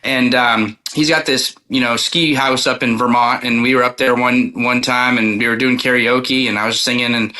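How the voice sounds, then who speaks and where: Guy musician being interviewed, mid pitch bright voice, rambles casually, repeats himself, lots of likes. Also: steady tone, normal speed, few pauses, low-quality recording. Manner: rambling, repeats words, peppered with "like" and "you know". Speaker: country singer-songwriter guest.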